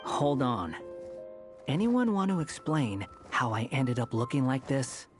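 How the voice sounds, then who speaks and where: Grumpy, sardonic stray cat. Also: male voice, mid-range voice, very animated, unhurried, music in the background. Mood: indignant. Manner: sardonic, curt, sighing. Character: grumpy young man turned stray cat, aloof. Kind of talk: animated video game voice acting.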